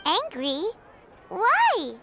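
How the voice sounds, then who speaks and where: A woman voicing a tiny, cheeky, excitable childlike game companion, squeaky, inquisitive and full of exclamations. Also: extremely slow, slight background noise, exaggerated voice. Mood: curious, startled. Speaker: video game voice actor. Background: loud background music.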